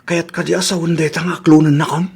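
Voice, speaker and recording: An actor playing a boastful, scheming young suitor in a comedy radio drama. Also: male voice, on the high side, flat delivery, quick pace, gravelly, noisy background. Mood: smooth-talking. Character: boastful, scheming young suitor lying about his job.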